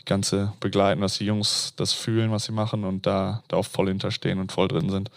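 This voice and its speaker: Low-voiced man, halting and flat. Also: creaky, no room sound, studio quality. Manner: halting, repeats words. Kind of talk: casual interview.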